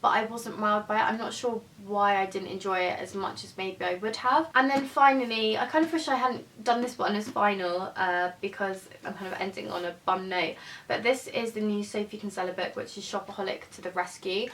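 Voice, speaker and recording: A woman's voice: brisk, fairly bright tone. Chatty woman, mic at a distance. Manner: chatty, unscripted, hesitant. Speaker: book vlogger. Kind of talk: casual book review chat. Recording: voice from a distance over faint rumble.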